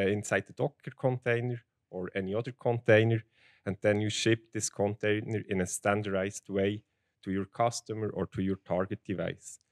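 A man's voice: lowish voice, studio quality. A man from Switzerland with a Swiss German accent presenting a technical talk in a large conference hall, speaking steadily into a handheld microphone and leaning on the word "basically". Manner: plain, steady, with restarts and "basically". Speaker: conference speaker, field application engineer.

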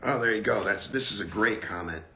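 A middle-aged man with a gravelly, raspy voice, a bit hoarse from a cold, giving a casual, blunt golf lesson on a livestream from an indoor simulator room. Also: on the low side, bright, forceful tone, roomy sound. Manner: blunt, casual, drawn-out emphasis. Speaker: golf instructor.

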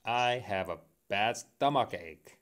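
A man's voice: on the low side, lively intonation, a bit bright. A man with a Korean accent, a native Korean speaker, carefully reading English phrasebook sentences aloud over a phone call during a practice session. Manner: careful, deliberate read-aloud, slightly halting.

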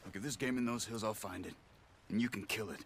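An actor playing a calm, stoic hunter in a Western video game, speaking in terse, matter-of-fact lines. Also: male voice, lowish voice, fast, gravelly. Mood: impatient. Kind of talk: video game dialogue acting. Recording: game outdoor noise, horse hooves behind.

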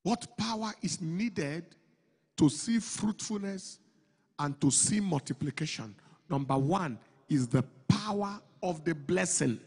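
A middle-aged man preaching a sermon into a handheld mic on a large church stage. He goes from slow, measured phrases to loud, emphatic declarations. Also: sits high, animated. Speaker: pastor. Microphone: handheld microphone. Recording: long hall reverb, slightly breathy.

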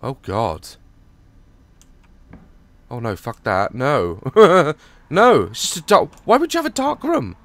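A hoarse young man on a headset mic, nervously joking and swearing through a horror game as a gaming YouTuber. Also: mid-range voice, wildly swooping pitch, takes it slowly, pauses often, a bit bright. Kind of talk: horror game let's play commentary.

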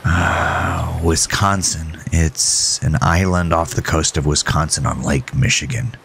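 Man playing a dazed, gravelly, very low patient. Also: fairly even intonation, fairly bright tone, slight background noise, exaggerated voice. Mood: dazed, hesitant. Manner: halting, unsure, casual. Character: dazed, hesitant male composer with concussion. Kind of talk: audio horror story character voice.

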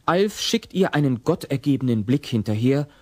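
A man's voice: medium pitch, expressive, moderate pace. A man narrating an audio drama in a scripted storytelling style.

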